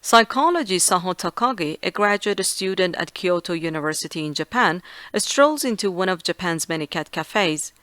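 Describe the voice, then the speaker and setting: A woman's voice: on the low side, animated, moderate pace, a bit bright, no echo. A woman reading a scripted article aloud as a narrator. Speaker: narrator of read-aloud exam practice passages.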